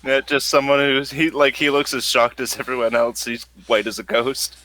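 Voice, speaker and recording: A man acting as game master, narrating a tabletop game in a casual, halting way. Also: medium pitch, steady tone, bright, forceful tone, no echo.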